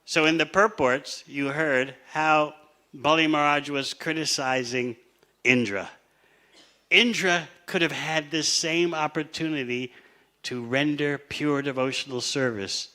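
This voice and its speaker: A man's voice: on the high side, measured pace, sharp tone. A man teaching a scripture class in a conversational way, asking his listeners questions and sometimes laughing. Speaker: spiritual teacher.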